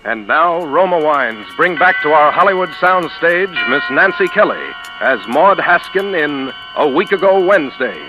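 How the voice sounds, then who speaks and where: Formal vintage radio show announcer. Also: male voice, a little high, animated, normal speed. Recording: dull 1940s radio broadcast with music.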